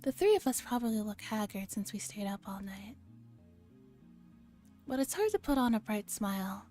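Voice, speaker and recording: A woman streamer softly reading a visual novel aloud in an ASMR style, with gentle, casual asides to her chat. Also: medium pitch, sing-song, normal speed. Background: music.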